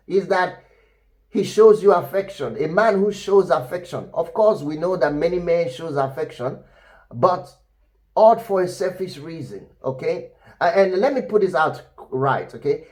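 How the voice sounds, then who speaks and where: Loud, emphatic man giving relationship advice. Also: fairly high pitch. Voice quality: loud, full voice. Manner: emphatic and repetitive, with frequent "you know" and "all right?" check-ins. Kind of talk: unscripted advice talk to camera.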